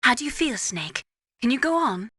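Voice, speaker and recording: A woman's voice: on the high side, sing-song, moderate pace, a bit bright, breathy edge, no room sound, clean studio sound, exaggerated voice. A woman playing a poised, clinical female scientist advising a soldier in a video game, speaking in a precise, explanatory way. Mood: concerned. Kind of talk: video game radio briefing acting.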